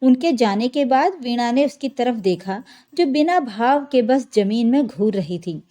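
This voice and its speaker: A woman's voice: a little high, animated, measured pace, mellow. A woman narrating a scripted family drama story as a storyteller.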